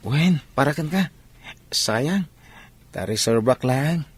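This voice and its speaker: A man's voice: medium pitch, lively intonation, takes it slowly, husky. A man playing an earnest, modest young village athlete in an Ilocano radio drama. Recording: noisy background ambience.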